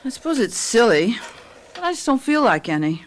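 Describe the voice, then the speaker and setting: Tired, fond wife and mother in radio drama. Very mobile intonation, gentle, hesitant; noisy effects bed. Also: a little high, big pitch swings, a little fast. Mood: tired, wistful.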